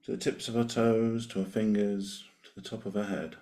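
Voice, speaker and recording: A man leading a guided meditation, giving gentle, unhurried invitations with long pauses between them. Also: lowish voice, little pitch movement.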